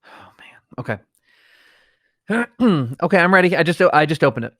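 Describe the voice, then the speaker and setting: A man's voice: fairly high pitch, sing-song, moderate pace, fairly bright tone, no room sound, clean studio sound. An American man hosting a comedy podcast, reading mock obituaries with strained solemnity and chatting casually. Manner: mock-solemn reading that keeps breaking into suppressed laughter.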